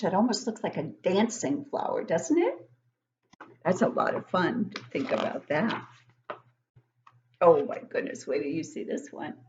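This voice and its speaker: Slow, very lively older woman reading aloud. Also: medium pitch, gravelly, muffled recording. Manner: expressive, pausing to ask the listeners questions. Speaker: teacher reading a picture book to children. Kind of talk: read-aloud for young children with questions and comments. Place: living room at home, indoors.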